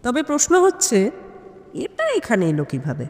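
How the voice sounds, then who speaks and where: A woman's voice: on the high side, huge pitch swings, moderate pace, darkish tone, big-room sound. A woman voicing a grave, curt and irritated male police officer in an audio story.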